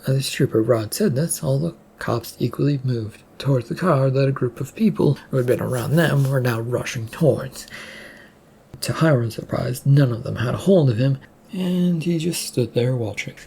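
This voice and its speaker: Gravelly, mellow storyteller reading aloud. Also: medium pitch, moderate pace, a little hiss or hum. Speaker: storyteller and narrator. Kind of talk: scripted narration of a serial story, read aloud.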